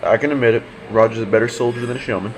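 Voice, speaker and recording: An actor playing a gruff, blunt, skeptical army officer in an audio drama. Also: male voice, mid-range voice, fairly even intonation, very fast, natural voice, poor audio. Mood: gruff skepticism. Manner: blunt, cautious.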